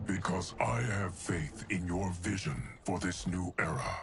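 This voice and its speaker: A man voicing a calm, stoic, loyal immortal guardian in a video game, speaking in a grave, formal, measured way. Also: deep voice, gravelly, slight background noise. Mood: calm, resolute. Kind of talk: video game cinematic acting.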